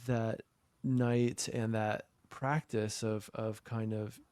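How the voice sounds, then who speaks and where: A man hosting an interview as a trauma therapist, reflecting on his guest's story in a measured, affirming way. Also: fairly low pitch, level tone, darkish tone, clean tone, dry recording. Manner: measured, affirming, frequent fillers. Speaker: podcast host, trauma therapist.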